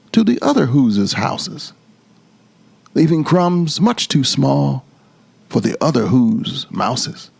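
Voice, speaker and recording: A man reading a storybook aloud as storyteller, in an expressive, theatrical and deliberate style. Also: medium pitch, vocal fry, slightly rough, dry recording.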